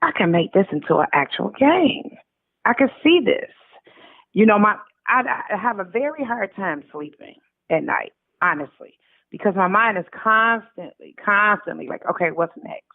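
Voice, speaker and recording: Over a narrow, tinny phone line, a woman answers interview questions casually. She is emphatic, repeats her points and voices imagined remarks as she goes. Her pitch is middling and the line is dry. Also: lively intonation, moderate pace, no room sound. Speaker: interview guest, author. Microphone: telephone call.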